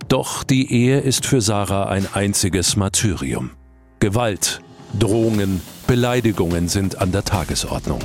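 A man reading scripted true-crime narration as the narrator of a podcast. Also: on the low side. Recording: music under the voice. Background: music.

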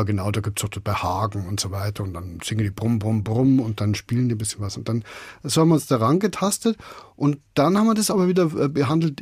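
Husky man talking in halting bursts. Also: lowish voice, darkish tone, no echo, studio quality. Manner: halting, searching for words with frequent repetitions. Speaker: radio drama director being interviewed. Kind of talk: spontaneous interview talk.

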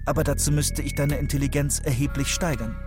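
A man playing a dry, condescending character in a sci-fi satire audiobook, deadpan and sardonic. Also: on the high side, a little fast, lightly acted. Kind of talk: audiobook trailer excerpt. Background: faint music.

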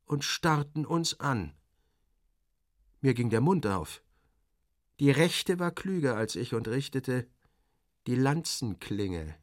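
A man's voice: medium pitch, very animated, takes it slowly, long pauses, no room sound, studio quality. A man performing dramatic first-person literary narration in character, wry and theatrical, in clipped phrases.

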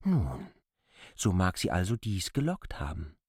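A man's voice: deep voice, huge pitch swings, a little slow, darkish tone, breathy edge, no echo, studio quality, full character voice. A man playing a shrewd, persistent newcomer in a literary radio drama, probing in formal, argumentative speech. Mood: insistent. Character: persistent, shrewd male newcomer questioning a landlady.

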